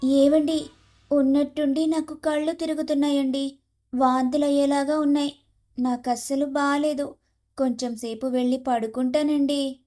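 Scheming young wife acted by a woman, high voice, soft dark tone, complaining, dramatic, cartoon moral story. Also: little pitch movement, moderate pace, faint music behind, close to an everyday voice. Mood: pleading.

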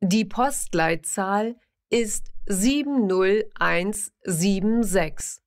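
A woman slowly reading simple example sentences for a language lesson, pronouncing each word carefully and repeating every sentence. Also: mid-range voice. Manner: careful, evenly paced, clearly enunciated.

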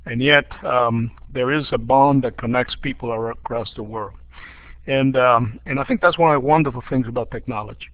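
Older Cuban-born man, halting and warm-hearted. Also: mid-range voice, no room sound. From Cuba. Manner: hesitant, many fillers and restarts, chuckles at his own jokes. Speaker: dean of a school of education. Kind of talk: casual spoken overview on a webinar. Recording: dull lo-fi online audio.

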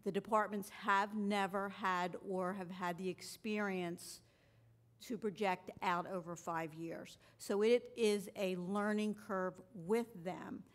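A woman's voice: medium pitch, steady tone, slow pace. Female municipal finance officer, middle-range voice, patient explanatory meeting delivery. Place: council chamber.

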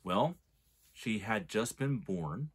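Man, 40s low-pitched casual film reviewer, rambling and argumentative, frequent restarts. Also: expressive, takes it slowly, husky. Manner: rambling, restarts sentences, argues a point. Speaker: movie reviewer.

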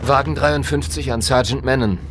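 A man's voice: medium pitch, steady tone, normal speed, natural voice. An actor playing a businesslike, courteous patrol policeman in a radio drama, speaking in a matter-of-fact, conversational way. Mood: businesslike, puzzled. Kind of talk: naturalistic German radio drama acting. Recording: clear vehicle noise behind.

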